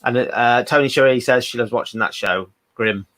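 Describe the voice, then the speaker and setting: A man's voice: mid-range voice, normal speed, a bit bright, no room sound. A British man hosting a live stream from home in Wales, chatting loosely and reading out viewer comments. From Britain. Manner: chatty, many fillers, reads out viewer comments. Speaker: host. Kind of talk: casual live-stream chat. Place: home in Wales, video call.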